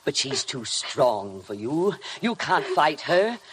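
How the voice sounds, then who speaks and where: A woman's voice: deep voice, big pitch swings, takes it slowly, heavily acted. An actress playing a sinister, obsessive housekeeper who goads her mistress with servile, hypnotic menace in a 1950s radio drama. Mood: obsessive bitterness. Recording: noisy old 1950 radio broadcast recording.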